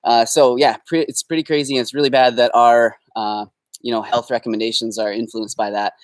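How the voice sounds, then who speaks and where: A man's voice: mid-range voice, steady tone, brisk, no room sound. A young Canadian man hosting a casual live Q&A from his backyard, upbeat and rambling with fillers. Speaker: host. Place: backyard, outdoors.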